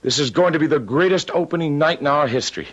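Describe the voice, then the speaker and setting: Male for an earnest theater aide: animated mid-range voice, muffled old radio recording. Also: a little high, fast. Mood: excited. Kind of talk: old-time radio drama acting.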